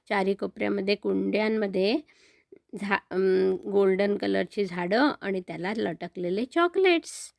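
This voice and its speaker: A woman's voice: medium pitch, dry recording, clean studio sound. An older woman telling a story to children in a simple, grandmotherly way, often repeating words.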